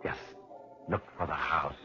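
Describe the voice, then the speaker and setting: Macabre host of an old radio mystery: bottomless voice, ominous and mock-genial, music behind, dull vintage sound. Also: male voice, extremely low pitch, fairly even intonation, a little slow. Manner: ominous, theatrical, mock-sympathetic. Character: sinister male storyteller, mock-genial, macabre. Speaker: narrator and host. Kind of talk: 1940s radio mystery narration. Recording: dull restored 1940s radio recording with music.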